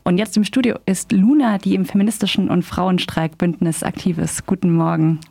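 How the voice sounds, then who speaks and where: A woman's voice: lowish voice, steady tone, vocal fry, mellow. A woman radio host reading a report and interviewing a guest in the studio. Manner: measured, scripted delivery.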